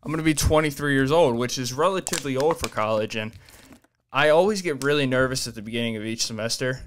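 A man's voice: medium pitch, fast, slight background noise. Young man rambling on his podcast. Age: 20s. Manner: rambling, loose and profane, self-reflective. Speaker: podcast host. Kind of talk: casual solo chat. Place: small home studio room, indoors. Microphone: desk mic on boom arm, headphones on.

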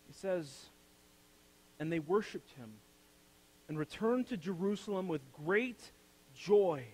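A young man preaching a sermon from a church pulpit. He builds to loud, emphatic peaks, then drops to soft, low phrases. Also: rough recording. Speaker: pastor. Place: church sanctuary, speaking from the pulpit.

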